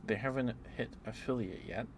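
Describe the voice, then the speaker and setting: A man livestreamer chatting casually in an ASMR stream, rambling in a hesitant, unhurried way. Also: mid-range voice, noisy background.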